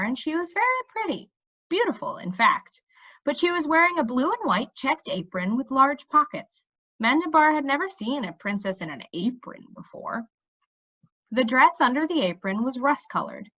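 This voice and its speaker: A woman reading a children's story aloud in an expressive, animated storytelling manner. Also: sits high, muffled recording. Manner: animated, pausing to check in with listeners.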